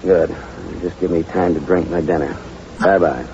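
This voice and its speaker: An actor playing a hard-boiled private detective in 1940s radio style, sardonic and slangy. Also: male voice, sits low, fairly even intonation, normal speed, darkish tone. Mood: wry. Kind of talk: 1940s radio acting, noir narration and dialogue. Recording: muffled, noisy vintage radio broadcast.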